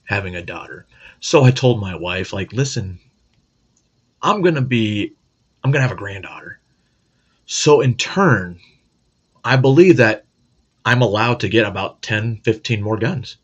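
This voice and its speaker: A man's voice: on the low side, lively intonation, measured pace, long pauses, husky, dull audio. A middle-aged man hosting a casual monologue, blunt, profane and joking. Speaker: host.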